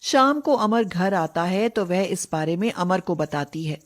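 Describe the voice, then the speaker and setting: A woman's voice: on the low side, lively intonation, a little slow. A woman reading scripted narration for a moral story, as a storyteller.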